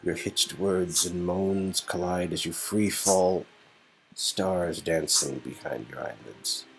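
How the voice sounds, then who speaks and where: A man's voice: low-pitched, slow, creaky, fairly bright tone, slight background noise, rough recording. A man reading an interactive story game aloud as narrator, with playful, goofy asides. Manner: goofy, rambling ad-libs. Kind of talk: playful dramatic read-aloud with silly ad-libbed asides.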